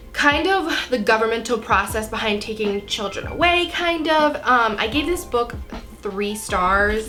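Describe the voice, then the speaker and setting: A woman's voice: a little high, sharp tone. Young American woman with a cold, music behind. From Florida, United States. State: has a cold. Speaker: book vlogger. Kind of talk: casual chat to camera.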